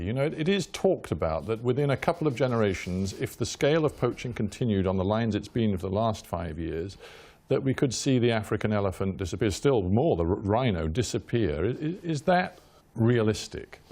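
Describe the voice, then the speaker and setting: A man's voice: on the low side, animated, normal speed, a little mellow. A man hosting a hard-hitting broadcast interview, asking blunt, probing questions.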